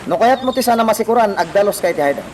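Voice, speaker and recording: A man playing a crime boss's loyal, excitable henchman in a radio drama, exclamatory, with a Filipino accent. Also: high voice, rapid-fire, distant mic, noisy background. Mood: scolding.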